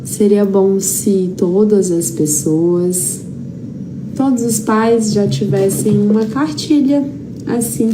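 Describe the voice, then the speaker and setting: A woman's voice: mid-range voice, takes it slowly. A woman with a Brazilian accent giving an informal, anecdote-filled lecture as a therapy teacher, repeating phrases for emphasis. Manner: conversational, emphatic repetition, acts out quoted dialogue. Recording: clearly noisy background.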